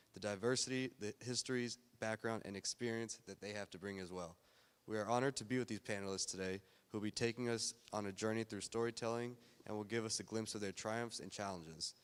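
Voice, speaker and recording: A man's voice: lowish voice, clear, resonant tone. A man hosting a university storytelling event, reading a prepared formal welcome. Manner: reads a prepared welcome speech. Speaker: event host. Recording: long hall reverb.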